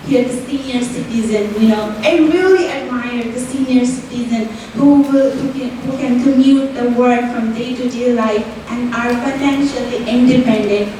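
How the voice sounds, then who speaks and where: Young Nepali-accented woman, fairly high voice, earnest student speech, picked up from a distance in a very echoey hall. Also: darkish tone, slight background noise. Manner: earnest, fills with "like" and "you know". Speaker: student speaker. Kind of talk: prepared stage speech. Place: university event hall. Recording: roomy hall audio from far off.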